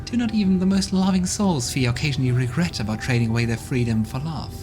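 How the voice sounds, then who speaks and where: A man's voice: mid-range voice, fast, darkish tone, close to an everyday voice. A man voicing a curious, playful female demon in a game playthrough, with music in the background. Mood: probing.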